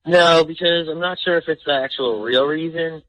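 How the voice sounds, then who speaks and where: A man's voice: fairly high pitch, normal speed, a bit bright, no room sound, low-quality recording. A young man calling a radio show, telling a personal story in a rambling, slangy, casual way.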